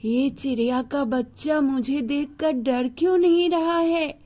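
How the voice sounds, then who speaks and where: A woman's voice: sits high, fairly even intonation, measured pace, dark tone, pitched above the performer's own voice. A woman voicing a male uncle snake in a children's story, a hungry predator with a kindly, protective side and an avuncular manner. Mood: puzzled, menacing.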